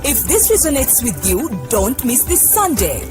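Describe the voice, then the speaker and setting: A woman reads a lilting promo voice-over, with a high voice that swoops up and down a lot. Loud hip hop music plays under her. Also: huge pitch swings, normal speed. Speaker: promo announcer. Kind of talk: sing-song advert voice-over.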